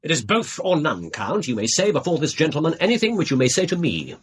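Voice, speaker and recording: An English actor playing a brisk, aloof Victorian detective in a radio drama, speaking precisely and with self-assurance. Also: male voice, a little high, wildly swooping pitch, breathy edge, heavily acted. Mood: coolly commanding.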